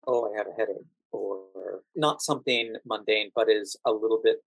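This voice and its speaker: American man, measured guest, very dry recording. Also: lowish voice, no echo, low-quality recording. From United States. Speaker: podcast guest, actor working as a standardized patient. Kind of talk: thoughtful interview conversation.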